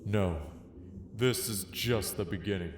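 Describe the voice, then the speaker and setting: A man's voice: fairly low pitch, unhurried, a little hiss or hum, natural voice. Man playing a cool, taunting game master, echoey. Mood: stern. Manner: cool, theatrical, challenging. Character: confident, taunting young male master of dark games. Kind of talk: audio drama acting of a manga. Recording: echoey room effect.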